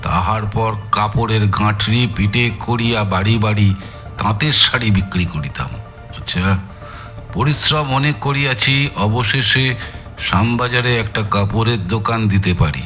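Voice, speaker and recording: A man's voice: on the low side, a little slow, sharp tone, soft background music. A man playing a shrewd, teasing older amateur detective in an audio drama, drawling and musing with thoughtful hums. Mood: reading gravely. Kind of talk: dramatised audio story acting.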